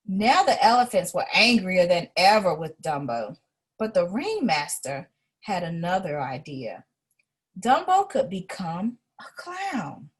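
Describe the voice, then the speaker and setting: A woman reading a children's story aloud in an expressive way, as an educator would to a young listener. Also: lowish voice, unhurried, breathy edge.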